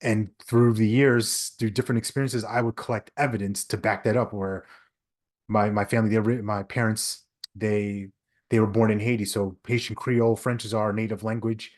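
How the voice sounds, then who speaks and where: A man's voice: lowish voice, flat delivery, moderate pace. A man in his thirties from Long Island, a podcast guest telling a reflective personal story with frequent restarts. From Long Island, New York. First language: English. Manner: earnest, reflective, frequent restarts and "right?" tags. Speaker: podcast guest, leadership speaker. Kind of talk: conversational storytelling.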